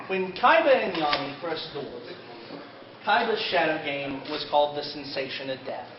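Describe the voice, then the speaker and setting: A man with a fairly high voice gives an informal fan panel talk. He is picked up from a distance in a roomy space, with a crowd clearly present around him. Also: animated, moderate pace. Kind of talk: informal panel talk about an anime, partly in character. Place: convention panel room, indoors. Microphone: distant camera microphone. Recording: roomy, dull, distant camera with audience.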